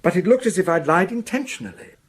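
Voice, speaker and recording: An actor playing an anxious, defensive village schoolmaster in a radio drama, earnest and hesitant, stumbling over repeated words. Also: male voice, high-pitched, expressive, quick pace, husky. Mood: nervous, defensive.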